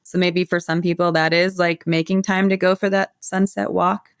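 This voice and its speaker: A woman's voice: fairly low pitch, vocal fry, no echo, clean studio sound. A woman from the United States, a guest herbalist, explaining her practice in an earnest, flowing way with frequent filler words. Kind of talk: casual podcast interview.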